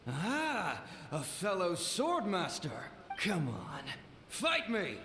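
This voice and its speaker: Game possessed swordsman: arrogant spirit, very slow, echoey, theatrical, spoiling for a fight. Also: high voice, wildly swooping pitch, bright, forceful tone, a little hiss or hum, exaggerated voice. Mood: taunting. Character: arrogant battle-hungry male swordsman spirit. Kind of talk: video game voice acting.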